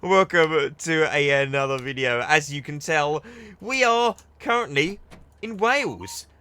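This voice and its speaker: A man's voice: fairly high pitch, lively intonation, a little slow, sharp tone, clear, resonant tone, dry recording. A young man giving chatty, enthusiastic commentary while playing a train simulator, often restarting his sentences. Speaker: gaming video creator. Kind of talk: casual gameplay commentary.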